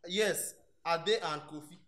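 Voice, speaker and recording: A man's voice: a little high, slow, sharp tone. A young man with a Nigerian accent playing an eager, timid university fresher in a radio drama. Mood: casual, friendly. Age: young adult. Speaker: actor.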